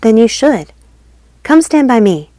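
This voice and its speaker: A proud, defiant fairy woman, as a reader plays her, speaks in a cool, measured way. The sound is dry and close. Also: fairly high pitch, big pitch swings, mellow, dry recording, voice pushed up.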